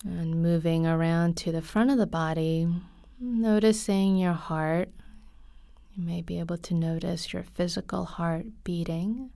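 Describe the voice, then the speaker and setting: A woman leads a guided meditation at a very slow pace, softly and calmly. Her tone is mellow and slightly breathy, with long pauses between phrases. The sound is dry and close. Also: lowish voice, no echo. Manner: soft, calm, unhurried, with frequent pauses. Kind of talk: guided body scan meditation.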